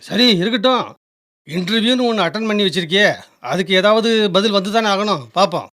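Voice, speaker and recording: A man's voice: high-pitched, brisk, bright, forceful tone. A man playing an earnest, nagging young friend in a Tamil radio drama, casual and insistent. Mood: concerned, insistent.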